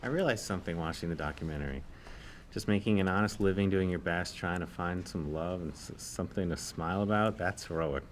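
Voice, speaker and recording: A man acting a meek middle-aged office worker in a sitcom monologue. The voice is very low, mild and earnest, with faint noise around it. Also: a little fast, lightly acted. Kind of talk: sitcom table read, confessional monologue. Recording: faint background noise, live room.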